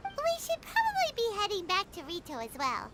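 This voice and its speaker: A woman voicing a tiny, chirpy, curious fairy companion with a squeaky childlike voice in an anime-style video game. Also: wildly swooping pitch, a little fast, very noisy, full character voice. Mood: cheerful.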